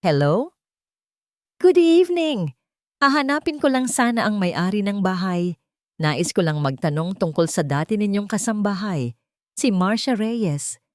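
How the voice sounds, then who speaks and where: A woman storyteller voices a well-off Filipino businessman and father in a drama. He is formal and polite but uneasy, with a Filipino accent. The pitch is raised a little above plain narration, and the sound is dry. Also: expressive, unhurried, a little mellow, dry recording, natural voice. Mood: polite, anxious. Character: worried wealthy businessman father, politely probing.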